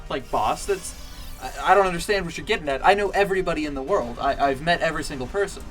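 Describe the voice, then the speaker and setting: Streamer voicing a smug, easygoing everyman, quick and offhand. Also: on the high side, fairly bright tone, soft background music, natural voice, low-quality recording. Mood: breezy. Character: cocky man who claims he knows everybody.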